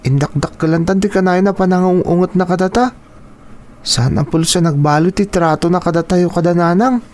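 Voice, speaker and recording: A man acting a high-voiced schoolboy brother. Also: moderate pace, a little mellow, close to an everyday voice. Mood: thoughtful. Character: eager young schoolboy, cheerful younger brother. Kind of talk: Ilocano radio drama acting.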